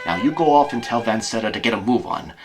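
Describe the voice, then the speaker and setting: Guy as a shady colonel crook boss, tense and plotting, impatient, pitch moves around a lot. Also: lively intonation, fast talker, over music, lightly acted. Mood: scheming. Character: scheming, tense villainous colonel, leader of crooks. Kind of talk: amateur audio drama acting.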